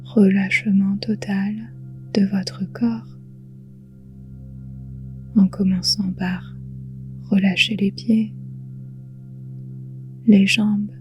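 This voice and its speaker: Very slow, hushed woman guiding meditation. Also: medium pitch, little pitch movement, faint music behind. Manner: soothing, hushed, breathy delivery with long pauses. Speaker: yoga nidra guide. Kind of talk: guided relaxation meditation.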